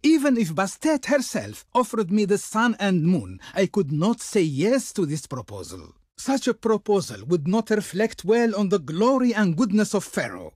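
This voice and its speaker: An actor playing a haughty, pompous envoy of an ancient Pharaoh in a video game, speaking in ornate, declamatory style. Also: male voice, high-pitched, huge pitch swings, moderate pace, few pauses, a little mellow, slightly rough, no room sound, studio quality, full character voice. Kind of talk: theatrical video game voice acting.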